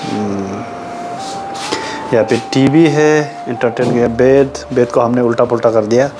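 A man's voice: mid-range voice, fairly even intonation, a little slow, poor audio. A man casually narrating his road trip for a travel vlog, pointing out sights to viewers as he films on a phone. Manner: chatty, pointing things out to viewers. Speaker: travel vlogger. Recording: music playing underneath.

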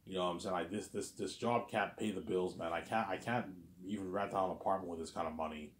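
A man's voice: low-pitched, quick pace, roomy sound. A man in his thirties rambling casually in a monologue to his viewers, repeating himself and stumbling over words. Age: 30s. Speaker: vlogger.

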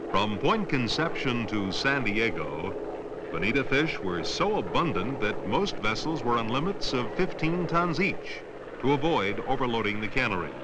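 A man reads scripted educational documentary narration in a measured, declarative way, in the style of around 1970. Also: mid-range voice, big pitch swings. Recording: noisy old educational film soundtrack.

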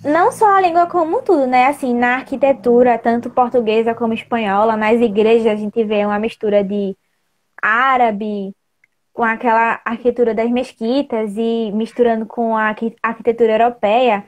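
A woman in her twenties with a northeastern Brazilian accent speaks as a student guest in an online chat. Her voice is a little high, and she explains things casually. She talks into a wired earphone mic at home. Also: fairly even intonation, normal speed. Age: 20s. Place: indoor room at home. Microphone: wired earphone mic on a video call.

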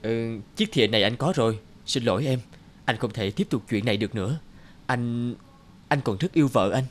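A man playing a gentle, hesitant married businessman with a guilty streak in an animated drama. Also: medium pitch, fairly quick, a little hiss or hum, natural voice. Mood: remorseful. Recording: music under the voice.

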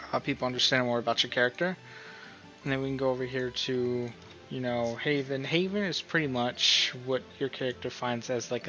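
A man's voice: medium pitch, a bit bright. A man giving a casual, unscripted tutorial in a rambling, hesitant, self-deprecating way. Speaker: tutorial host. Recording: dull lo-fi mic, music behind. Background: music.

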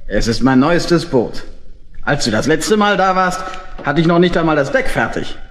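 An actor playing a hearty, persuasive water-mouse boat builder in a children's audio play, jovial and eager. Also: male voice, fairly high pitch, animated, moderate pace, a bit bright, a little hiss or hum.

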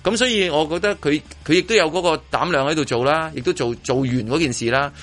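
A man's voice: fairly high pitch, fast talker, fairly bright tone. A middle-aged man with a Hong Kong accent chatting casually as a radio host, rambling and repeating his phrases as he goes. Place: radio studio.

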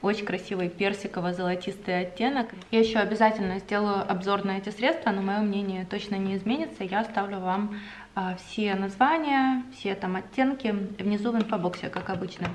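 A young woman chatting to the camera at home, enthusiastic and talkative, with drawn-out hesitations. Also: medium pitch, a little hiss or hum. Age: young adult. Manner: chatty, enthusiastic about cosmetics, drawn-out fillers. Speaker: beauty vlogger. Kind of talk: casual chat to camera. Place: home room indoors.

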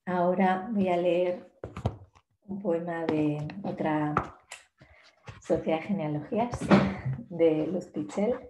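Woman slowly reading poetry in an echoey room. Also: on the low side, background noise. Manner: slow, measured poetry reading. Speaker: poet giving a talk. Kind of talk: reading poems aloud in a talk. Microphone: laptop webcam on a video call.

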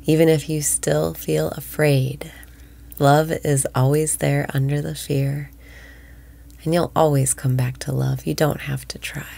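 A woman leading a guided breathing meditation in a slow, soothing voice that often drops to a whisper. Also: low voice, level tone, vocal fry, warm tone.

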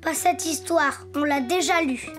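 A woman's voice: high voice, unhurried, music in the background. A woman voicing a playful, cheeky little boy donkey in a children's cartoon, with a childlike, boastful and theatrical way of talking. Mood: self-assured.

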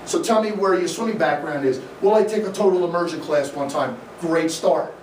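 A middle-aged Midwestern man presents to a live crowd in a dim event room, speaking energetically and conversationally, filling pauses with "uh". His fairly high voice reaches the camera from a distance and sounds roomy. A faint hum sits behind him. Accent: Midwestern American. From American Midwest. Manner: energetic, gesturing, conversational with fillers. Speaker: swim coach giving a talk. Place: dim indoor event room, in front of a projection screen. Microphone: room camera from the audience, no mic seen. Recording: echoey room, voice from afar, faint noise.

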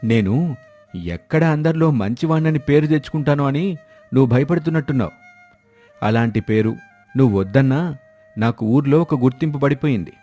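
A man's voice: mid-range voice, fairly quick, long pauses, dark tone, soft background music, natural voice. A man playing a proud, touchy village husband in an emphatic moral story drama. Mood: indignant, boastful. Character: proud, touchy village husband who gives too freely. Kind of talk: moral story character acting.

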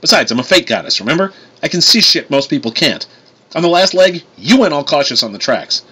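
A man reading the part of a blunt, sarcastic, sharp-tongued woman in an audiobook story. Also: fairly high pitch, big pitch swings, brisk, breathy edge, voice pushed up, full character voice. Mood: accusing. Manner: sardonic, blunt, sweary. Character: sharp-tongued sarcastic woman, self-described fake goddess.

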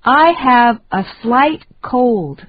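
A woman reading short phrases slowly and deliberately for learners to repeat. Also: a little high, animated, no echo, dull audio. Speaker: English lesson reader.

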